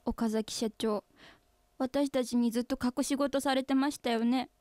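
A woman playing a serious, troubled office employee who speaks gravely to her boss in a radio drama. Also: fairly high pitch, steady tone, unhurried, a little mellow, no echo, natural voice. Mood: grave, resolute.

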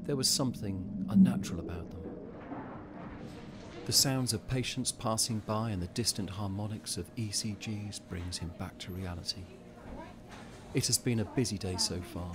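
A man's voice: on the low side, moderate pace, noisy background, natural voice. A man acting as the grave narrator of a scripted audio drama. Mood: grave, measured. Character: grave storyteller of a virus outbreak drama.

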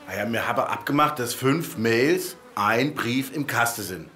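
Pedantic old letterbox, swinging pitch. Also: male voice, lively intonation, moderate pace, bright, forceful tone, a little hiss or hum. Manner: pedantic, needling. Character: old-fashioned rusty male letterbox, pedantic, quarrelsome. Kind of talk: German radio play acting.

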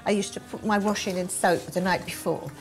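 A woman's voice: medium pitch, fairly even intonation, a little fast, poor audio. Older woman, practical, matter-of-fact. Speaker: neighbour recalling the 1950s. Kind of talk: casual chat. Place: period-style kitchen, indoors. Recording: music under the voice. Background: soft music.

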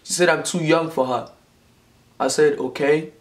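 A man's voice: fairly high pitch, steady tone, moderate pace. A man in his twenties from Nigeria, telling a personal story to the camera in a casual vlog, repeating phrases for emphasis. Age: 20s. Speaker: vlogger. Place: small indoor room, seated at home. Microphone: camera in front, close framing.

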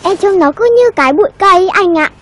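A woman's voice: very high-pitched, expressive, quick pace, a bit bright. A woman voicing an earnest, plaintive little child in a cartoon, on a noisy soundtrack. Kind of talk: cartoon voice acting.

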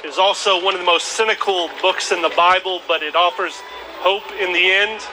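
A man's voice: high voice, steady tone, brisk, pushed and bright, low-quality recording. A man in his thirties giving an open-air Bible talk into a handheld microphone in a teacherly, explaining way. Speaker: street preacher. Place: outdoor plaza by historic buildings. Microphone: handheld microphone through a speaker. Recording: outdoor street noise.

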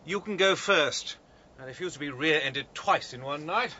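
An actor playing a testy, sardonic military gentleman in a radio drama, well-mannered with a sarcastic edge. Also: on the high side, normal speed, lo-fi sound, a little hiss or hum. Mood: stiffly apologetic.

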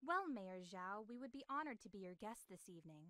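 A woman's voice: mid-range voice, lively intonation, brisk, sharp tone, no echo. A woman voicing a perky, earnest, polite young cartoon dragon heroine in a video game. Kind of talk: video game cutscene acting.

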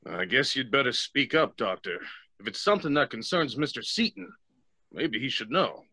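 An actor playing a skeptical, folksy small-town sheriff in an old-time radio drama, husky and authoritative. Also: male voice, medium pitch, animated, moderate pace, pushed and bright, no echo, exaggerated voice. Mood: steady, authoritative.

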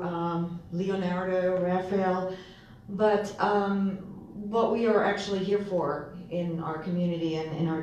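A woman moderating a parish discussion, speaking haltingly with many fillers, recorded from far off in a hall. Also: medium pitch, darkish tone, a little hiss or hum. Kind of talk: casual group discussion.

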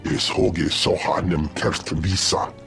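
A man's voice: low-pitched, steady tone, slow, creaky, over music, full character voice. A man playing an amphibious alien geneticist in a video game, speaking guttural invented alien speech. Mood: guarded, alien.

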